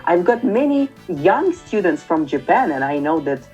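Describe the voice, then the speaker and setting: A woman working as an online English tutor, talking in a chatty, reflective way with soft laughs. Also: lowish voice, sing-song, moderate pace, dull audio, soft background music. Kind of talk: casual conversation.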